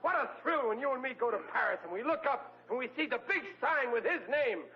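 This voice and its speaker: A bumbling working-class dad from a 1940s radio comedy, blustery and excitable, tripping over his grammar as he talks. His voice sits fairly high, and the broadcast sound is dull and lo-fi. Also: brisk. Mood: excited. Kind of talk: 1940s radio sitcom acting.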